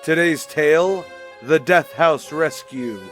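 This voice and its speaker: An actor playing a dramatic announcer of a mystery serial, in a punchy 1940s radio style. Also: male voice, a little high, takes it slowly, a bit bright, soft background music. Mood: bold, dramatic. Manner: bombastic, punchy.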